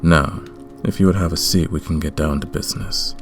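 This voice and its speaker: A man playing a courtly, commanding, lovestruck vampire king in a romantic ASMR roleplay, speaking in a formal, deliberate way. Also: a bass voice, music in the background. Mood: gracious, courteous.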